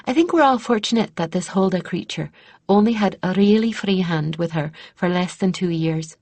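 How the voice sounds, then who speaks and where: Woman playing a modest, husky young trainee woman. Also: fairly low pitch, moderate pace, a little mellow, no echo. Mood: modest, earnest. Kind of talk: fantasy audiobook character voice.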